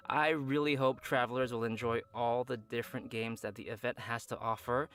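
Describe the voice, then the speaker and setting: A man's voice: mid-range voice, steady tone, normal speed, fairly bright tone. A man hosting a game update program, reading announcements with upbeat, playful asides. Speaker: program host. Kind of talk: reading a scripted event announcement.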